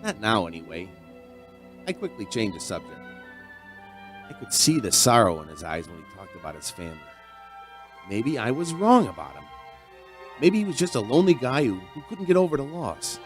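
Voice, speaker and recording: A man's voice: mid-range voice, very animated, moderate pace, long pauses, faint music behind, close to an everyday voice. A man playing a chatty, folksy bartender who tells his strange tale in a conversational radio drama style. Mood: reflective storytelling. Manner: conversational, storytelling. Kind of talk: audio drama narration and dialogue.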